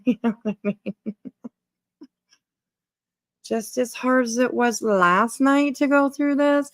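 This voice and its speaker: A woman's voice: on the high side, no room sound, clean studio sound. A woman hosting a casual livestream, chatting informally to viewers in a rambling way and repeating her phrases. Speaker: livestream host.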